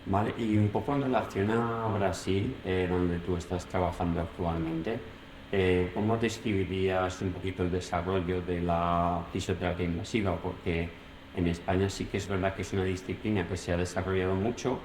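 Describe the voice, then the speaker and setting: A man's voice: low voice, fairly even intonation, normal speed, a little mellow, echoey room, a little hiss or hum, poor audio. A man from Spain hosting an interview podcast, asking long questions with lots of hesitant fillers. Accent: Spain Spanish. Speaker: podcast host.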